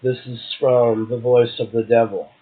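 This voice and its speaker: A man's voice: mid-range voice, level tone, normal speed. A man, a guest poet, reading verse and chatting casually. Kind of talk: poetry reading and casual chat. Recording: tinny phone line.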